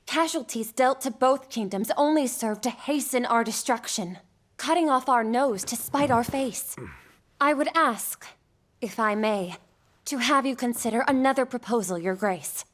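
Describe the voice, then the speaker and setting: A woman's voice: on the high side, unhurried, fairly bright tone. A woman playing a resolute young princess in a fantasy game, formal, composed and earnest. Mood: earnest appeal. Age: young adult. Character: poised, resolute young princess, diplomatic and selfless. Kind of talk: cinematic fantasy game acting. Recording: music behind.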